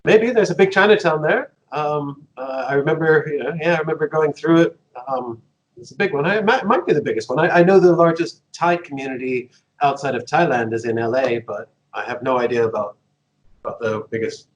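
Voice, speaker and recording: A man's voice: fairly high pitch, brisk, rough recording. Chatty American man telling stories. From United States. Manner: chatty, laughs often, many fillers and restarts. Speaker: guest. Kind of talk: casual interview storytelling.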